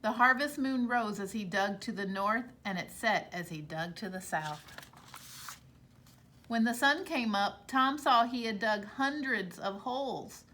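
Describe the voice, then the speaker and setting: A woman reading a storybook aloud to children like a teacher, expressively, pausing to explain things to her young listeners. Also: a little high, pushed and bright, slight background noise.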